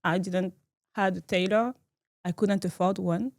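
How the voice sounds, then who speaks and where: A woman, a fashion designer speaking as a guest in a casual interview, earnest and quick to laugh. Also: mid-range voice, steady tone, a little mellow, no room sound. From France. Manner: earnest, laughs easily, small grammar slips.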